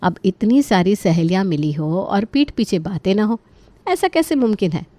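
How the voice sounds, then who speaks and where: A woman's voice: medium pitch, lively intonation, normal speed, mellow, no room sound. A woman reading a scripted story as the narrator.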